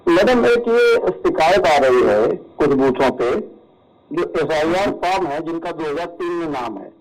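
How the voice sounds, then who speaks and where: A man's voice: fairly high pitch, lively intonation, a little slow, a crowd in the background. A man speaking politely and deferentially on a played-back phone call, often giving short acknowledgements. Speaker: party worker raising a complaint.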